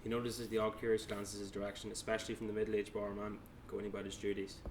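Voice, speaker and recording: A man's voice: on the low side, completely flat delivery, fast, a little hiss or hum. A man narrating stage directions at a table read, plainly, with small stumbles as he reads.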